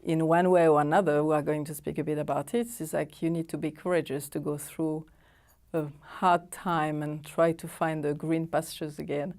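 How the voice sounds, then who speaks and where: Woman TV host, dark mellow tone, gentle and reflective, murmurs along. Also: low voice, little pitch movement, moderate pace. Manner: gentle with reflective imagery and frequent soft "mm-hmm". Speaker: TV talk-show host. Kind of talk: TV interview.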